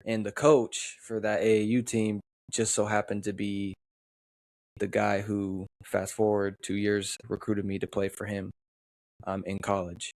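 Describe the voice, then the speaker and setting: A young man from the western United States co-hosts a podcast in a relaxed, easygoing manner. He often stops on "um". His voice sits low, and the recording is dry and close. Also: no echo. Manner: relaxed, easygoing, many "um" pauses. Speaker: podcast co-host. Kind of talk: casual chat.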